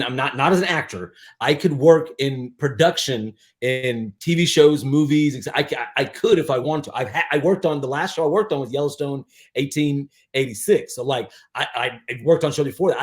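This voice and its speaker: Emphatic man in his forties, halting speech. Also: mid-range voice. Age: 40s. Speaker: podcast guest, DJ and aspiring filmmaker. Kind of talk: casual interview chat.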